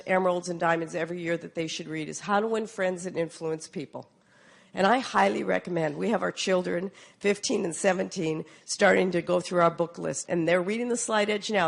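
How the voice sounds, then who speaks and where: A woman in her forties speaks to a seminar audience, her voice hoarse from a cold and gravelly with fry. She sits a little low in pitch and talks in an earnest, upbeat way, with fillers and restarts. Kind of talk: motivational seminar talk. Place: meeting room.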